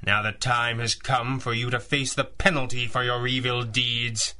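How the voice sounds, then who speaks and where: Debonair pulp hero, mid-pitched and crisp-edged, theatrical, wry, formal 1930s radio adventure delivery. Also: male voice, little pitch movement, moderate pace, pushed and bright. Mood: taunting triumph. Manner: formal, smooth-talking, theatrically composed, droll. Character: suave, confident masked superhero and mentor, wryly amused. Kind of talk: 1930s-style radio adventure serial acting.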